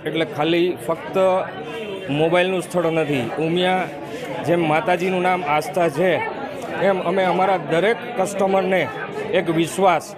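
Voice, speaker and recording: A middle-aged man answering a reporter's questions in measured phrases at a busy shop entrance. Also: high-pitched, fairly even intonation, a crowd in the background. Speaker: shop representative. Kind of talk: interview answer. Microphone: reporter's handheld mic.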